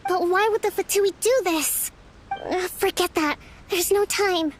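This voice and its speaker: A young strategist leading an army for a video game. She is formal and measured, with a strikingly high voice and a little noise behind it. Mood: concerned, urgent. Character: composed young female army leader and strategist, gentle but decisive.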